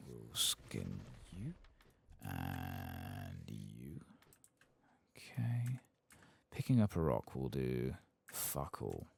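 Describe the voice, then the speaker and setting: Very deep, soft-spoken man, slow and gravelly. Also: expressive. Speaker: ASMR gaming streamer. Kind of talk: soft-spoken ASMR game playthrough commentary.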